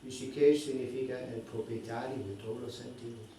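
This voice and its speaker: A man with a soft, mellow tone teaches a scripture class, often pausing to hunt for a word and tagging his sentences with a questioning check. His voice rings through a very echoey hall. He sounds a little distant from the microphone, over a faint background hiss. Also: medium pitch, fairly even intonation. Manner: searching for words, constant "¿no?" tags. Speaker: spiritual teacher. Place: indoor temple hall.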